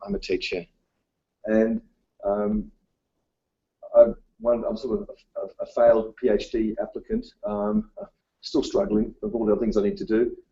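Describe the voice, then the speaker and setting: A man speaks in a low, husky voice with a dark, mellow tone, giving a talk. His first language was Dutch. He hesitates a lot, filling the gaps with ums. The sound is dull and lo-fi. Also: very animated. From Australia. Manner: halting, many ums and uhs. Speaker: teacher giving a conference talk. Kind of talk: presentation talk. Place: conference room.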